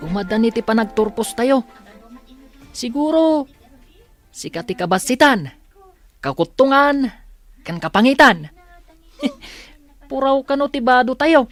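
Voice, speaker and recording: A woman's voice: sits high, animated, measured pace, long pauses, faint music behind. A woman with a Filipino accent playing a mocking, teasing young schoolmate in a comedy drama, drawing out each jibe.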